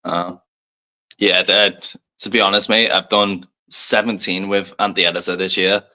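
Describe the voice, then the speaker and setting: A young man from Liverpool with a soft English accent and a slight American tinge, a wrestler chatting loosely as a guest, full of fillers and self-deprecating asides. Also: low-pitched, level tone, a little slow, poor audio. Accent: soft English, light Americanisms. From Liverpool, England. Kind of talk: casual podcast interview.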